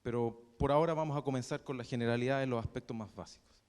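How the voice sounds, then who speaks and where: A young man with a Chilean Spanish accent teaches a math class at a middling pitch, pausing often on fillers and restarting his sentences. The recording carries the long reverb of a lecture hall. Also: fairly even intonation, darkish tone, clear, resonant tone. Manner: halting, with many fillers and restarts.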